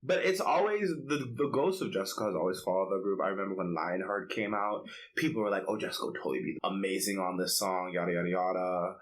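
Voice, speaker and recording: A man's voice: low-pitched, fast, few pauses, low-quality recording. A man co-hosting a podcast, chatting casually and giving opinions with lots of "like" filler. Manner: conversational, opinionated, peppers speech with "like". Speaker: podcast co-host. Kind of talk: casual K-pop podcast chat.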